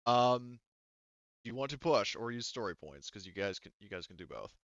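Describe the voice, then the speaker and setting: Smooth-voiced man running a tabletop game, slightly muffled. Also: mid-range voice, expressive, fairly bright tone, no echo. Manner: halting, thinking aloud, casually profane. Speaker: game master. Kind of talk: improvised tabletop game narration and rulings.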